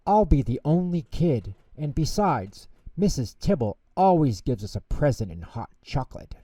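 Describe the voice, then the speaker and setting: Man voicing a bossy, animated little sister. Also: a little high, moderate pace, pitched above the performer's own voice. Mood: pleading. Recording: roomy echo, distant microphone.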